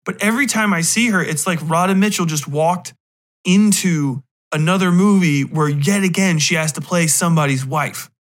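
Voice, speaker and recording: A man's voice: fairly high pitch, normal speed. A man, thirties or older, hosting a podcast in a casual, rambling monologue, cutting himself off with wry, sometimes profane asides. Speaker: podcast host.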